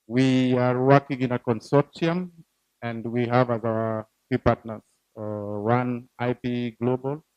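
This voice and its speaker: This is a man presenting a development program at a formal event. His delivery is halting and full of fillers, with his loudness drifting up and down. The recording is slightly dull and dry. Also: lowish voice, smooth, dry recording. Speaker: program team leader presenting at a donor event.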